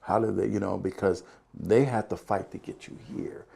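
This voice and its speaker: A man's voice: lowish voice, steady tone, normal speed, gravelly, warm tone, husky. A man hosting a podcast interview, asking questions and encouraging his guest with frequent affirming murmurs. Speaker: podcast host.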